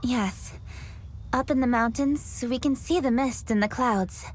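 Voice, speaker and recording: A woman voicing an earnest, driven young woman in a game cutscene, speaking in a composed, thoughtful, measured way. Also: fairly high pitch, a little hiss or hum, natural voice, rough recording. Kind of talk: video game cutscene dialogue. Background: game music.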